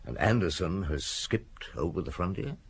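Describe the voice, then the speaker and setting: A man's voice: deep voice, animated, moderate pace, vocal fry, slight background noise. An actor playing a shrewd, laconic police chief inspector in a radio drama, terse and authoritative as he questions and gives orders. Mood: dry, probing.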